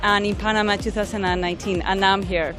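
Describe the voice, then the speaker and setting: A woman's voice: medium pitch, fairly even intonation, fairly bright tone, faint music behind. Earnest Spanish-accented woman. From Spain. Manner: earnest, halting, with many fillers. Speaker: interviewee.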